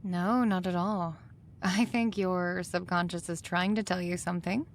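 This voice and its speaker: A woman playing a supportive, encouraging mentor who talks in an unhurried way in an audio drama. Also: on the low side, steady tone, slight background noise. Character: supportive, encouraging female mentor to a young artist.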